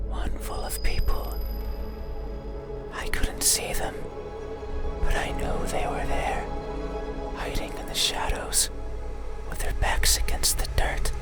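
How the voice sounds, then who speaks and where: A lonely monster played by an actor speaks from a horror game in a breathy, intimate whisper. The delivery is very slow and stilted, full of yearning, as if unused to words. Prominent music plays underneath. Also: lightly acted. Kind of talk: ASMR horror game monologue.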